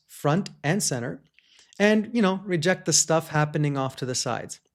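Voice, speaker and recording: A man hosting a gear review, talking in an enthusiastic, chatty way with small restarts, recorded on a shotgun microphone. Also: on the high side. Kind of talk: product review talk.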